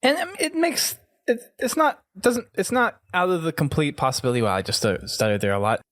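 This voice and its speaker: A man's voice: a little high, lively intonation, brisk, fairly bright tone, no room sound, studio quality. A man speaking casually as the host of a gaming podcast.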